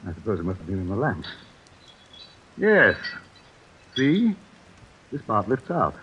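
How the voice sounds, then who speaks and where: A solicitous, nervous doctor in old radio suspense style. His voice sits on the low side and he speaks with worried hesitation. The recording is muffled, with faint noise. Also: huge pitch swings, unhurried. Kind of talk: 1940s radio suspense acting. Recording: dull, slightly noisy vintage radio recording.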